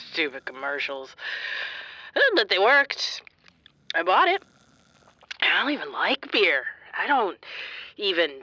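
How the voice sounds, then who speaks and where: A woman's voice: medium pitch, pushed and bright, dry recording, lo-fi sound, full character voice. A woman playing a troubled, self-doubting young radio interviewer in a naturalistic audio drama. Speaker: radio reporter.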